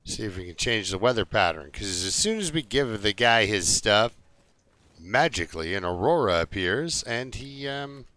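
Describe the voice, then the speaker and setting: A man's voice: on the low side, animated, normal speed, pushed and bright. A man live streaming a video game, chatting casually and jokingly to his viewers in an easygoing, rambling way.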